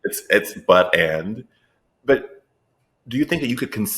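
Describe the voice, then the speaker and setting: A male interview host with a very low voice that rasps into a gravelly fry. He asks earnest, searching questions and repeats words as he works out his thought. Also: a bit bright. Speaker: podcast host. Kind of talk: interview conversation.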